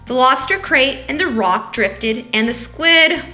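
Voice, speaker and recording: A woman hosting story time for young children, talking and reading aloud in an animated way, addressing them as friends. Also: high-pitched, a little slow. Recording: roomy echo, faint music.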